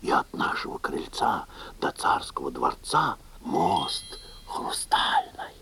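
A man's voice: deep voice, wildly swooping pitch, slow pace, vocal fry, sharp tone, whispered, full character voice. A man playing a cheerful, cocky young peasant lad with simple, folksy comic delivery in a fairy-tale audio play. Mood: reassuring, matter-of-fact.

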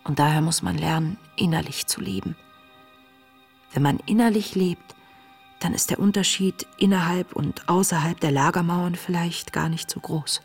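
Young woman diarist, radio drama, breathy n hushed, earnest and inward, music underneath. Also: fairly low pitch. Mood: reflective. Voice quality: breathy, often dropping toward a whisper. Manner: introspective, measured. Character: earnest, searching 28-year-old woman writing her wartime diary. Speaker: actress in a radio play. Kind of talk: radio play monologue, diary reading.

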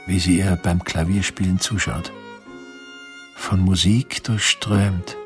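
A man's voice: low voice, fairly even intonation, slow pace, over music. An actor playing a self-assured 18th-century doctor, proud of his cure, in a radio drama, speaking in declarations. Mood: proud, absorbed.

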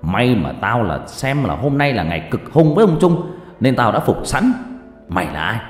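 A man's voice: low-pitched, expressive, racing, pitched below the performer's own voice. A man voicing a stern old male geomancer who barks commands, heard with echoey reverb and music behind. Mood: commanding. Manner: barking, commanding.

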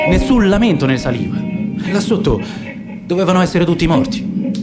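A man with an Italian accent narrating a literary radio drama in a measured, dramatic reading that lingers on details. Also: fairly high pitch. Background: guitar music.

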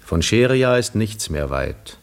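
An actor playing a weary, worldly wandering castaway hero, middle-aged and gently teasing, with measured, musing delivery in a 1950s German radio drama. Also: male voice, fairly low pitch, sing-song, vocal fry, full character voice. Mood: brooding.

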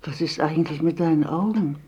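A woman's voice: sits low, fairly quick, dark tone, breathy edge, lo-fi sound. An actress playing a reserved elderly former sweetheart, a homely grandmother in a naturalistic radio drama. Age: older.

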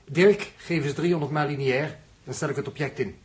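A man's voice: a little high, brisk, noisy background, close to an everyday voice. An actor playing a factual, good-humoured male astronomer on a spaceship crew in a 1960s Dutch radio drama. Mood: sober, factual. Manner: precise, explanatory.

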